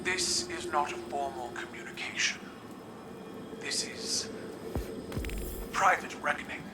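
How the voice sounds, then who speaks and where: An actor playing a proud, stoic alien warrior ruler in a video game, speaking in a formal, deliberate, commanding way. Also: male voice, a little high, music in the background. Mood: grave respect. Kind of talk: dramatic video game voice acting.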